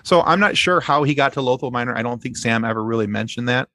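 A man speaking as a podcast guest in casual fan discussion, haltingly repeating phrases as he explains. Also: mid-range voice, sharp tone, no room sound, clean studio sound.

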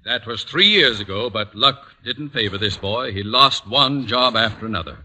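An actor playing a pompous, scheming middle-aged school principal in an old radio comedy, officious and wordy. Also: male voice, lowish voice, normal speed, pushed and bright. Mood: pleading. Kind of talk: 1940s-50s radio comedy acting. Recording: noisy old radio recording with clicks.

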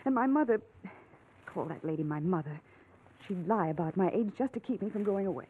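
A woman's voice: lowish voice, lively intonation, brisk, close to an everyday voice. An actress playing a desperate, earnest young farm woman in a 1940s radio drama, pleading urgently for help. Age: young adult. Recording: narrow-band old radio, faint noise.

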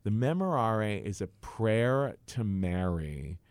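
Animated American man telling stories, lowish voice. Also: takes it slowly, dry recording. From Cincinnati, United States. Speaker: interview guest telling personal stories. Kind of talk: conversational storytelling.

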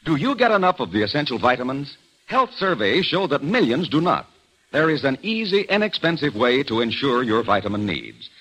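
Husky, swooping male vintage radio ad announcer. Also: medium pitch, a bit bright, poor audio. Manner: emphatic. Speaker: advertisement announcer. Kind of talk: 1940s radio commercial read.

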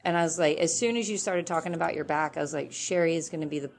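A woman's voice: low-pitched, fast talker, vocal fry, slight background noise. Upbeat woman hosting a podcast. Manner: enthusiastic, chatty. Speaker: podcast host.

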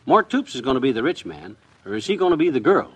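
An actor playing a boastful, blustering husband in a folksy, excitable, self-important way, in a 1950s radio comedy. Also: male voice, medium pitch, fast, slightly rough, highs cut off. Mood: boastful, excited. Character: boastful, blustering husband dressed as a policeman for amateur sketch.

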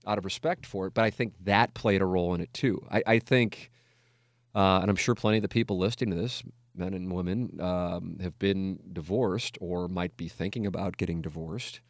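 American guy in his 40s, lowish voice, dry close sound, rambly self-deprecating radio talk, swears a lot. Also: normal speed, no room sound. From United States, Missouri. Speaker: radio talk show host. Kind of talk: candid reflective casual talk.

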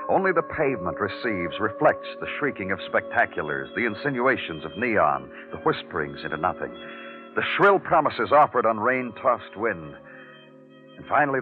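An actor playing a world-weary, brooding police detective with a dramatic delivery in a 1950s radio noir drama. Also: male voice, lowish voice. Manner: brooding, dramatic, clipped in dialogue. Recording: phone-like old radio recording with music.

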